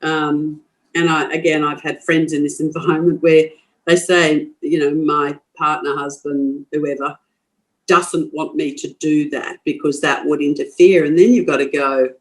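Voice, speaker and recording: A woman's voice: sits low, fairly even intonation, unhurried, rough recording. An older Australian woman speaks her mind in a podcast interview, blunt and forthright. She laughs easily and often restarts her sentences as she thinks aloud. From Queensland, Australia. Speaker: guest.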